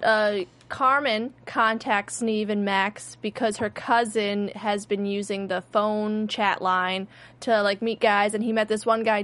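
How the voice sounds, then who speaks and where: A woman's voice: mid-range voice, fairly bright tone. Young woman, casual podcast co-host, rambling, filler-heavy recap delivery. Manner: rambling, many fillers and restarts.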